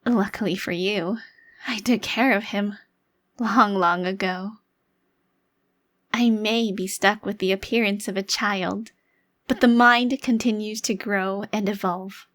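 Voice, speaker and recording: A woman's voice: medium pitch, steady tone, measured pace, fairly bright tone, lightly acted. A woman playing a haughty, centuries-old vampire crime lord trapped in a child's body, speaking formally in an ASMR roleplay. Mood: grim, defiant.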